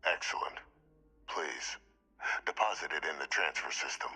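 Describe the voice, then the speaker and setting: An actor playing a grave, calculating old military commander in a sci-fi game, speaking formally and deliberately in a raspy, breathy voice. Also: male voice, a bass voice, pushed and bright, soft background music, full character voice. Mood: measured, commanding. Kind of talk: scripted game dialogue.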